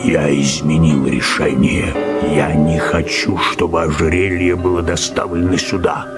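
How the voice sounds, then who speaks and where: An actor playing a secretive, commanding millionaire boss in a radio play, speaking firmly. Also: male voice, very deep voice, little pitch movement, unhurried. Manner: commanding, firm. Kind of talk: radio drama acting with narration. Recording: radio play with faint music behind.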